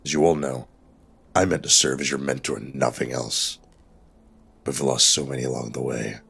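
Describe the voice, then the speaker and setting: A man voicing a gruff, battle-weary, protective mentor in an audiobook, with a growling, blunt delivery and a gravelly rasp. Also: a bass voice, fairly even intonation, moderate pace, fairly bright tone, pitched below the performer's own voice, exaggerated voice. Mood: stern. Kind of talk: audiobook character dialogue.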